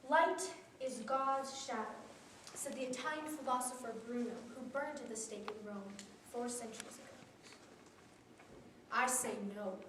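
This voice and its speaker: A teenage girl giving a memorized competition speech with a solemn, deliberate delivery that drops to hushed tones. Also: fairly high pitch, a little hiss or hum. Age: teens. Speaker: student speaker. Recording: roomy echo from a distant camera.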